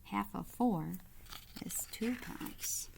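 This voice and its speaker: A woman reads a picture book aloud for young children. Her pace is very unhurried and her pitch sits in the middle for a woman. She phrases expressively, with teacher-like asides. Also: gravelly, in a whisper, poor audio. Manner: deliberate, expressive phrasing, pausing to comment to young listeners. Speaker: read-aloud storyteller, teacher-like.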